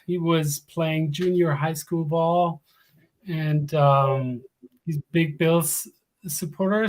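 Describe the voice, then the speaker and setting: A middle-aged man from Germany, a native German speaker, calling in to chat about his favorite team in friendly, careful English. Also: fairly high pitch. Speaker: caller, fan from abroad. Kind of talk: casual sports chat.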